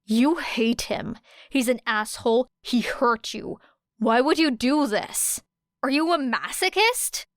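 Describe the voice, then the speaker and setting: A woman voicing a fierce, blunt teenage girl with a sharp, growling delivery in an audiobook. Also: fairly high pitch, slow pace, no room sound, studio quality. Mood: angry, sharp.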